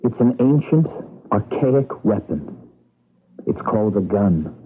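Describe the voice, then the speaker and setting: A man's voice: on the low side, sing-song, slow. An actor playing a detached, knowing man of the future, speaking matter-of-factly in a 1980s radio drama. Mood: cool explaining. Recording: muffled tinny radio recording, faint hiss.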